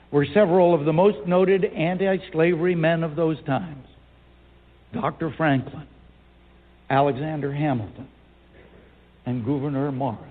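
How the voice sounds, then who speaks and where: A man delivering a formal, old-fashioned political speech in a deliberate, rhetorical manner with measured phrasing. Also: fairly high pitch. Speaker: speaker reciting a historical speech. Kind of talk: formal oratory, reading a 19th-century political speech. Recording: phone-like narrow sound, hall reverb.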